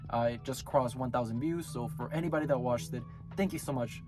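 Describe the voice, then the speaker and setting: A man hosting his own anime review channel, talking casually while sick, so his voice comes out hoarse and raspy as he rambles and corrects himself. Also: mid-range voice, fairly quick, over music.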